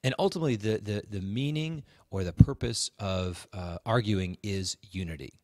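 Low-voiced man co-hosting a podcast. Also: moderate pace, dark tone, no echo, studio quality. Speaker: podcast co-host. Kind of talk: casual conversational explaining.

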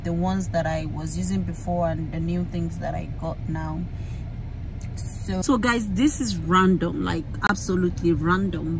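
A young Nigerian woman with a Yoruba-flavoured accent, vlogging into a phone in a car, talking in an animated, emphatic way with many fillers and restarts. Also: on the low side, noisy background. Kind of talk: casual rant to camera. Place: inside a parked car. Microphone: phone camera held close.

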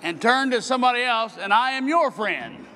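An older man, a bishop, giving an earnest sermon address at a podium, slowing down as he reads scripture. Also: extremely high pitch, lively intonation, bright, forceful tone, slight background noise. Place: large hall at a podium. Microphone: podium microphone. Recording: long hall reverb.

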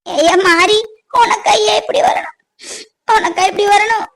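A woman's voice: extremely high pitch, unhurried, a bit bright, exaggerated voice. A woman playing a distraught mother in a family melodrama, tearful and lamenting, with a southern Tamil Nadu accent. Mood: despairing. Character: distraught, wailing mother of an injured grown son. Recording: clearly noisy track with clicks.

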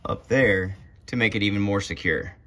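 A man's voice: lowish voice, moderate pace, slight background noise. Young man giving plain how-to directions outdoors. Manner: relaxed, plainspoken step-by-step directions. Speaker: kayaker demonstrating gear. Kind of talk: casual how-to instruction. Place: outdoors under a carport at night. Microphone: phone camera, moving around the car.

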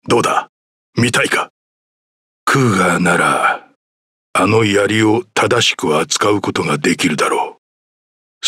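A man's voice: mid-range voice, bright, forceful tone, no echo, clean studio sound. A man voicing a proud old male general and mentor in a video game, bold and commanding. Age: older. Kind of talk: game voice clips.

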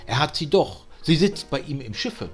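A man's voice: on the high side, sing-song, normal speed, faint music behind. A man voicing a knowing, ominous talking raven in a fairy-tale reading. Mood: foreboding.

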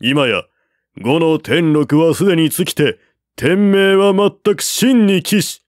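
A man's voice: fairly high pitch, slow pace, no echo, studio quality, voice pushed up. A man voicing a proud older male enemy general who declaims haughtily across the battlefield, in a storybook reading. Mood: haughty.

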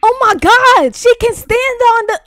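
A woman's voice: extremely high pitch, a little slow, sharp tone, dry recording, clean studio sound, full character voice. A woman playing a flustered granddaughter and household peacemaker in an improvised online roleplay, casual and exasperated. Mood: alarmed.

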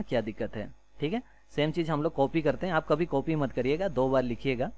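An Indian man narrates a screencast programming lesson in a mid-pitched voice. He explains each step informally, with a modest, self-deprecating manner and frequent small check-in phrases. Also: darkish tone. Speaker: programming tutorial instructor.

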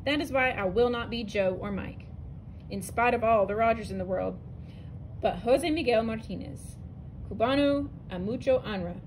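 A woman teacher reads a picture book aloud to her students, her voice a touch high and her delivery clear and expressive. She stumbles and restarts now and then. A faint hiss of room noise sits under the recording. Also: moderate pace, poor audio. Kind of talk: reading a narrative poem aloud to students. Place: indoors, at a table over an open book.

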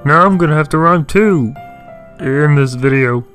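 A man's voice: on the high side, normal speed, a bit bright, faint music behind, voice pushed up, natural voice. A man voicing a grumbling, mocking male puppet sidekick who heckles in a comedy web show. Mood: complaining. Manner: complaining, mocking.

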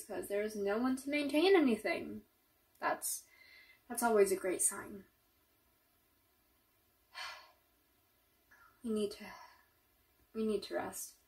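Woman acting a curt, slow-talking apocalypse survivor. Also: mid-range voice. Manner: curt, exasperated, halting, dry sarcasm. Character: snappish young woman surviving a zombie apocalypse, grudgingly caring. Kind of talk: first-person audio roleplay, naturalistic acting. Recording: slightly distant from the mic.

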